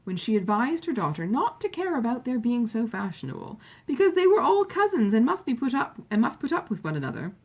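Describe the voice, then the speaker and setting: A woman reading a classic novel aloud as an audiobook narrator, in an expressive, measured storytelling manner. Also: fairly high pitch, husky, lo-fi sound.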